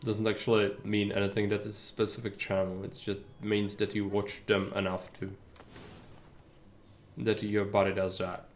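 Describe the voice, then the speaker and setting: A man streaming a game live, chatting in a calm, relaxed way with his viewers. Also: lowish voice, moderate pace, a little hiss or hum. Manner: relaxed, chatty, addresses chat. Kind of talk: casual live gameplay commentary.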